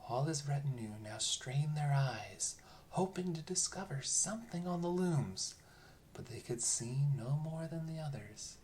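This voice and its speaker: A storyteller narrating a bedtime fairy tale in a calm, soothing, lilting manner. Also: medium pitch, measured pace, a little hiss or hum.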